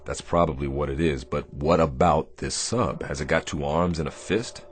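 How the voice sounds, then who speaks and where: An actor playing a gruff, weary naval captain in a radio drama, giving orders with dry sarcasm. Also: male voice, rumbling low, normal speed, slight background noise. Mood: stern, sardonic.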